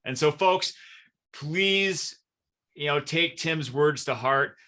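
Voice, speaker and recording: A man's voice: a little high, slow pace, bright, forceful tone. A man hosting a conversational podcast interview in an earnest, encouraging manner. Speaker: podcast host.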